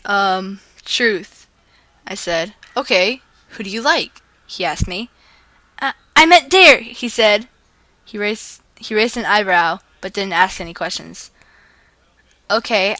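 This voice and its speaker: A woman with a bright, forward voice reads fan fiction out loud. She is playful and dramatizes the dialogue as she goes. The sound is close and dry. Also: mid-range voice, no echo. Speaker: fan fiction reader and video host.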